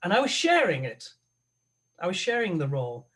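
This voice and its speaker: A man, an opera tenor, talking as a guest on a video call, halting and thoughtful with many restarts. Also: sits high, wildly swooping pitch, fairly bright tone. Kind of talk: conversational interview over a video call.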